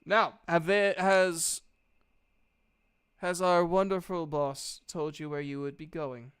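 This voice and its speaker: Casting: man as formal, measured veteran officer woman for tabletop fantasy, smooth and clean. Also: on the high side, steady tone, fairly bright tone, dry recording, studio quality, voice pushed up. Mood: formal, composed. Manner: measured, polite, official phrasing. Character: Willow, formal composed female veteran officer.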